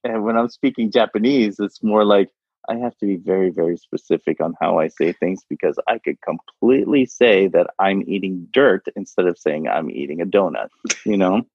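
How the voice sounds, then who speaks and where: Casual male interview guest, low and husky, rambling delivery, dry lo-fi audio. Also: moderate pace, no room sound. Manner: rambling, repeats words, laughs often. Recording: dull lo-fi sound.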